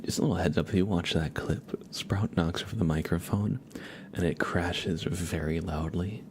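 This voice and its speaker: A man hosting a live ASMR stream in a soft, often whispered voice, chatting informally in loose, hesitant phrases. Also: low-pitched, fairly even intonation, normal speed. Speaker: ASMR livestream host. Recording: clear background ambient noise.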